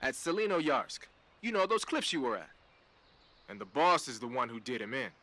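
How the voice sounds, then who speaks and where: A man's voice: mid-range voice, very animated, moderate pace, sharp tone. An actor playing a laid-back, streetwise male tech specialist in a video game, talking casually with slang. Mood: casual, informative.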